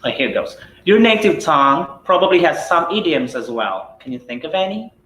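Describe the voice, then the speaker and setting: A man's voice: fairly high pitch, very animated, moderate pace, fairly bright tone, roomy sound, poor audio. Chatty Filipino man teaching English live. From Philippines. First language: Cebuano. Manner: chatty, rhetorical questions with tag "right?" and "okay?". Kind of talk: live-streamed English lesson.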